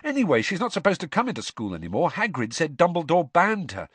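A man's voice: a little high, wildly swooping pitch, fast talker, fairly bright tone, no room sound, pitched above the performer's own voice, full character voice. A man voicing a blunt, indignant teenage boy in an audiobook.

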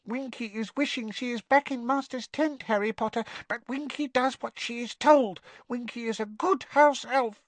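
A man doing Winky, a squeaky timid elf. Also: moderate pace, few pauses, dry recording. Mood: dutiful. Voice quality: teeny quivering squeak. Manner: broken grammar, deferential, constantly says sir. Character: tiny timid female house elf servant.

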